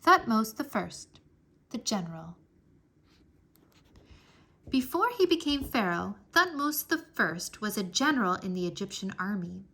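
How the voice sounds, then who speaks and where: A woman reading a history book aloud for children in an expressive, deliberate storytelling manner. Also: mid-range voice, vocal fry. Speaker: read-aloud narrator.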